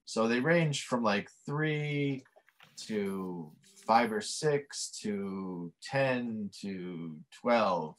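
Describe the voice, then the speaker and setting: A man's voice: lowish voice, animated, drawn-out words. A middle-aged man, a film director, answering interview questions casually over a video call from a home office, with many fillers. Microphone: webcam video call.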